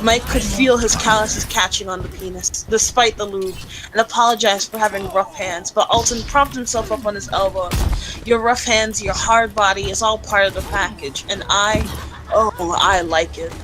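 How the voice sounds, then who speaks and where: A woman's voice: mid-range voice, fairly even intonation, moderate pace, rough recording. A woman reading a story aloud in an amused, theatrical way and chatting casually on a voice call. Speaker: friend reading fan fiction aloud in a game call. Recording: voice call with game music behind. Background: video game music.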